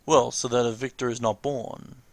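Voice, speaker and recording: A man's voice: mid-range voice, fairly even intonation, normal speed, a little mellow, no echo, natural voice. A man voicing worried young fantasy game heroes, reading their lines aloud with an earnest, anxious manner. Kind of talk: amateur read-aloud of game dialogue.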